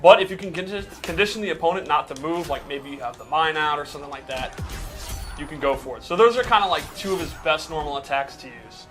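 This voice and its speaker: A man with a bright, forward voice on the higher side gives casual gameplay commentary. He explains things step by step in a loose, conversational way, with music clearly behind him. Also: fast. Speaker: game commentator breaking down a character.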